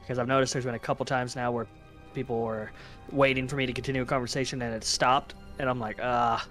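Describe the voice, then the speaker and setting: A man's voice: mid-range voice, little pitch movement, fast, fairly bright tone, faint music behind. A man playing a tabletop game over an online voice call, talking casually. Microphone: remote online voice call.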